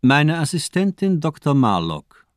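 A man's voice: fairly high pitch, very animated, a little slow, no room sound, studio quality. A man playing a worldly, composed surgeon with hidden menace in a radio drama, speaking in a polished, formal manner. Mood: smooth, guarded.

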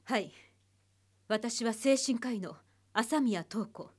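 An actress playing a stern, composed psychiatrist, speaking formally and bluntly in measured phrases in an anime radio drama. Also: female voice, fairly high pitch. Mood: grave, firm.

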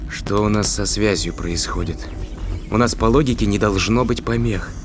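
A man's voice: lowish voice, moderate pace, noisy background, close to an everyday voice. A man playing an inquisitive spaceship captain in a science fiction audio drama, commanding and thinking aloud. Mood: pensive, suspicious.

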